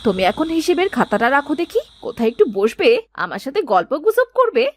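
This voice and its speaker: Woman doing young cartoon housewife, homely and affectionate, high lilting voice that moves around lots. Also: big pitch swings, brisk. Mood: gently nagging. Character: affectionate young Bengali daughter-in-law, homely, gently complaining wife. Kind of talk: cartoon family drama dubbing.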